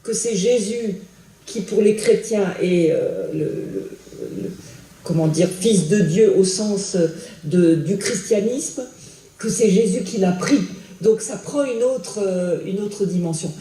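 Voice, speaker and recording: A woman's voice: mid-range voice, slow pace, dark tone. A middle-aged woman, a theology professor, answering audience questions thoughtfully with many restarts and hesitations. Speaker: theology professor giving a lecture. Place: attic lecture hall in a school. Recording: echoey hall from far off.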